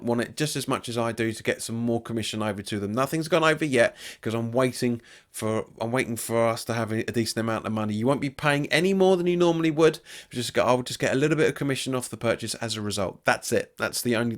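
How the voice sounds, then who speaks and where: A man hosting his football fan channel, talking, sounding nasal from a cold and often repeating and restarting phrases. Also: medium pitch. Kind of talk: casual football preview monologue.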